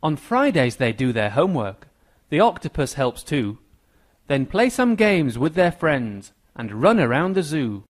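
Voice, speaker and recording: A man's voice: a little high, big pitch swings, measured pace. A man with an American accent reading a scripted rhyming story for children. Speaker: narrator.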